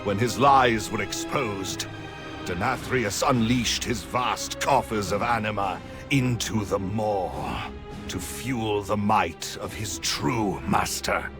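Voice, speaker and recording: An actor playing an earnest, aristocratic rebel prince in a gothic fantasy video game, formal and impassioned. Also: male voice, on the low side, takes it slowly, over music. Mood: bitter, accusing. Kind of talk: video game cinematic acting.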